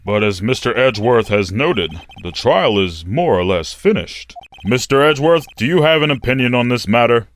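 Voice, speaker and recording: Man acting ponderous, expressive courtroom judge. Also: medium pitch, natural voice. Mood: pondering. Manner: formal, deliberate, pondering. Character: ponderous, easily puzzled male courtroom judge. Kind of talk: game fandub courtroom drama. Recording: music behind the voice.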